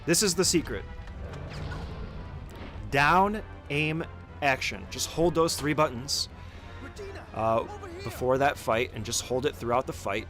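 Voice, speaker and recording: Male gaming tutor, mid-pitched, informal step-by-step commentary for a speedrun guide, music behind. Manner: informal, occasionally swearing, step-by-step instructions. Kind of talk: casual instructional gameplay commentary.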